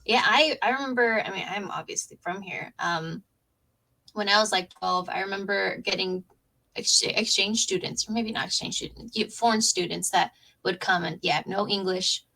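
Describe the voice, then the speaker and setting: A young woman hosts a podcast and chats in an animated way. Her voice has a gravelly fry and a husky edge. It sits at an ordinary pitch for a woman. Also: normal speed, poor audio. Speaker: podcast host. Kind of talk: casual conversation.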